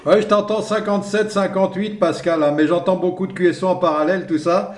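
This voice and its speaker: A man's voice: sits high, fairly even intonation, fast talker. A man chatting casually over two-way radio in an easygoing way, often ending phrases with small tag words. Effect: two-way radio transmission. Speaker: amateur radio operator. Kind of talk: casual radio contact chat. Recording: echoey two-way radio transmission.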